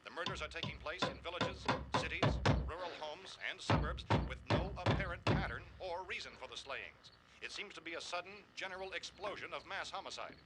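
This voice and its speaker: A man's voice: a little high, fairly quick, heavy background noise, lightly acted. An actor playing a 1960s emergency radio newsman, reading a formal bulletin with grave authority. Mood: grave, urgent.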